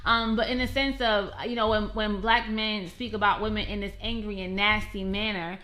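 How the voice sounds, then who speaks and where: Bright-voiced frank woman co-host. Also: medium pitch, flat delivery, normal speed. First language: Russian. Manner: frank and emphatic, laughs often. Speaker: podcast co-host. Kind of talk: casual group chat.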